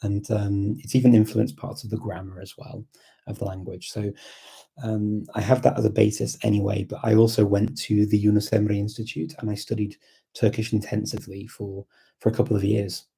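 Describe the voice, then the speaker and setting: Guy on a live stream, really soft dark husky voice, kinda hesitant, just thinking out loud. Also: lowish voice, little pitch movement, fairly quick. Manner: hesitant, reflective, repeats words while thinking. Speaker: livestream host and language learner. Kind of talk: casual live chat, thinking aloud.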